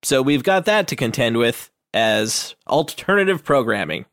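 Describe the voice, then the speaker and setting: A man's voice: mid-range voice, very animated, a little slow, fairly bright tone, no echo, clean studio sound. A man chatting casually as a player on a tabletop game podcast. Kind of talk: casual chat.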